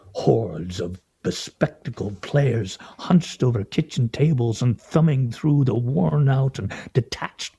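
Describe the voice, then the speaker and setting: An actor as a pompous, husky, theatrical man, very mellow. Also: medium pitch, heavily acted. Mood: grandiose. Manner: grandiose, mock-serious, ornate diction. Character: pompous, sardonic, theatrical man at a scrabble game. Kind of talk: radio play acting.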